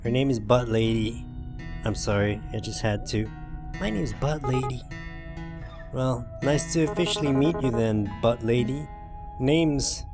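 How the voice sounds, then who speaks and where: A man's voice: medium pitch, unhurried, music in the background. A man hosting a gaming playthrough, reading a visual novel aloud with informal, jokey asides and occasional swearing. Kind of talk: narrated playthrough with dramatic reading and casual asides.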